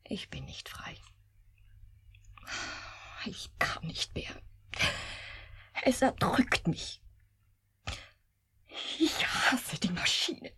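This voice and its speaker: Very breathy, solemn artificial young woman. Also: a little high, big pitch swings, very slow, long pauses, pushed and bright, exaggerated voice. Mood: anguished. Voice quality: breathy, often near whisper. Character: young artificial woman, coldly logical yet anguished. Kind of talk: German radio drama acting.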